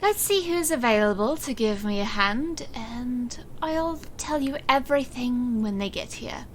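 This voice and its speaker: A woman playing a calm, secretive, dryly protective woman in charge in an audio drama. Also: fairly high pitch, a little hiss or hum. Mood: measured, serious. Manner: measured, composed.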